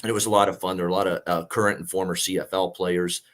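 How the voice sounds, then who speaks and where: A man hosts casual sports talk in a lowish voice. It is gravelly and worn from a night of singing, and he rambles along with plenty of filler pauses. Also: flat delivery, fairly bright tone. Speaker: host of a sports betting show.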